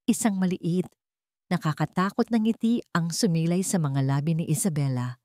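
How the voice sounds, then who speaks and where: A woman's voice: fairly low pitch, moderate pace, mellow, dry recording, clean studio sound. A woman reading scripted narration for a dramatic story, as its storyteller.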